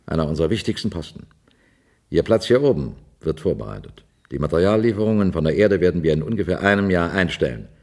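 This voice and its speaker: Cynical space station boss in an old sci-fi radio play, very low, swings around a lot. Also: male voice, expressive, fast, a little mellow. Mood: coolly matter-of-fact. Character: businesslike male space-station official, coolly cynical about Earth. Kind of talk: 1970s German science-fiction radio drama acting.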